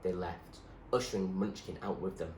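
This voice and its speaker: A man reading a storybook aloud as narrator, in an expressive storytelling style. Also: low-pitched, normal speed. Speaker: story reader. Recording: echoey room with clear background noise.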